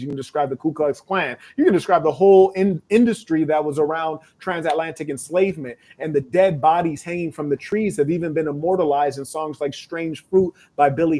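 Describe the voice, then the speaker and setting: A man's voice: on the high side, a little mellow. A man giving blunt, emphatic commentary in casual slang. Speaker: podcast guest commentator.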